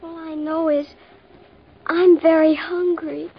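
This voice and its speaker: A woman's voice: little pitch movement, slow, full character voice. An actress playing a small, hungry little girl in a dream, pleading in 1940s radio style. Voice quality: high, soft and childlike. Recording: muffled old radio recording.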